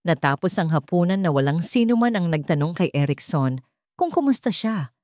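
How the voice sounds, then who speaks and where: A woman's voice: fairly low pitch, sing-song, normal speed, a little mellow, no echo, clean studio sound. A woman with a Filipino accent reading a scripted dramatic story as its narrator.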